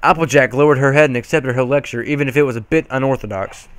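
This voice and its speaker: A man's voice: medium pitch, fast, clear, resonant tone, no echo. A man doing an amateur dramatic reading of a story, reluctant and stumbling over words now and then. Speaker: reader of fan fiction.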